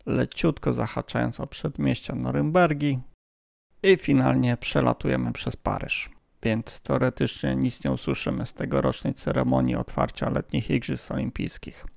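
A man narrates an amateur radio vlog from a script. His voice is markedly creaky and gravelly. The sound is dry, with very little room on it. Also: mid-range voice, lively intonation, moderate pace, darkish tone, no echo.

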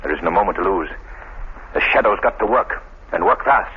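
An actor playing a calm, resolute amateur detective, brisk and decisive, in 1940s radio style. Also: male voice, sits low. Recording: tinny, noisy old radio recording.

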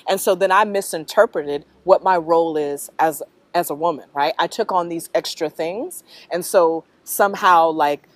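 A middle-aged American woman answering interview questions reflectively, in a thoughtful, halting manner that often checks in with the listener. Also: on the low side, no room sound. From North Carolina, US. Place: outdoors in a leafy park.

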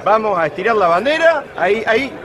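A man with a Rioplatense Spanish accent, joking and teasing as he hosts, his voice high and swinging up and down with energy. He is recorded outdoors, and a loud crowd chatters all around him. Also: sing-song, fast. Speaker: fishing vlogger interviewing kayak anglers. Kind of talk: casual on-camera chat at a tournament.

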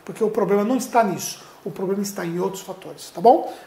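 A man's voice: high-pitched, quick pace, vocal fry, mellow, slight background noise. A middle-aged man with a Brazilian accent, giving earnest advice straight to the viewer as a public speaking coach, recorded on a lapel mic in a studio. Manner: earnest, addresses viewer directly, gestures a lot while talking. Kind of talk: direct-to-camera advice video. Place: indoor studio with plain light backdrop. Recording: roomy echo.

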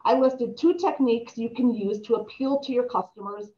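A woman's voice: on the high side, normal speed, mellow, lo-fi sound. Young woman with a slight lisp. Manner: reads aloud with stumbles and restarts. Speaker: presenter reading an article aloud. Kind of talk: reading slides aloud in a video call. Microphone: laptop mic on a video call.

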